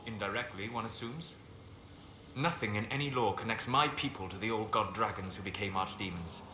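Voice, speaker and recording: Man playing a low-voiced, scholarly elven mage. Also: close to an everyday voice. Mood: grave, analytical. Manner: measured, formal, precise phrasing. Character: calm, scholarly male elven mage advisor, formal and reserved. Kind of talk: video game dialogue acting. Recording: roomy echo, clear background noise.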